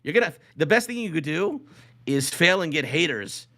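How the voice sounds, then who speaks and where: A man's voice: on the high side, big pitch swings, normal speed, sharp tone. A man hosting a casual comedy podcast, talking in a rambling, joking way and commenting as he reads from a list. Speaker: podcast host.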